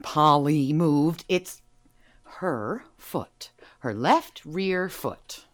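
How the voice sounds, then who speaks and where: A sly woman suspected of burglary in an audio drama. Her pitch is lively and varied, moving from meek murmurs to emphatic, theatrical protest. She is playful, evasive and teasing, always working on whoever questions her. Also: drawn-out words, heavily acted. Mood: evasive, testing. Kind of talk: audio drama dialogue acting.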